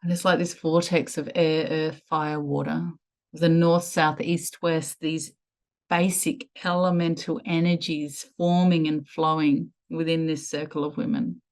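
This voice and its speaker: A woman leading a guided meditation in a soothing, measured way with lingering pauses. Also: low voice, level tone, a little mellow.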